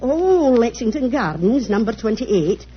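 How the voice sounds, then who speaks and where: An actress playing a kindly, deferential British housekeeper in a 1940s radio drama, homely and obliging. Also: female voice, on the high side, very animated, a little slow, slightly rough, heavily acted. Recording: dull, noisy 1940s radio recording.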